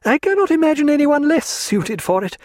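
Guy doing a giddy teasing lady, high and bouncy, dry close sound. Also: very animated, a little fast, dry recording. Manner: gushing, teasing, high-spirited. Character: lively teasing young English lady. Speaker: audiobook narrator.

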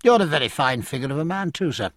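A man voicing a self-assured young gentlewoman in a storybook, coolly polite, poised and commanding. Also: a little high, expressive, fast, dry recording, clean studio sound, pitched above the performer's own voice. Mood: charming flattery.

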